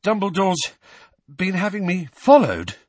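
A man's voice: high voice, wildly swooping pitch, normal speed, fairly bright tone, slightly rough, no room sound, pitched above the performer's own voice, heavily acted. A man voicing a frustrated, questioning teenage boy in an audiobook, speaking with strained effort. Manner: panting, effortful. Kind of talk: audiobook character voice.

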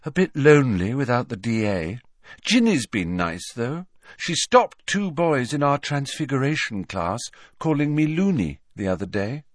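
Guy doing airy teen girl for an audiobook, high, vague n wondering, kinda matter-of-fact. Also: very animated, unhurried, no room sound, clean studio sound. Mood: dreamy, candid. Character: dreamy, airy teenage schoolgirl, candid and kind. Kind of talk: audiobook character voice.

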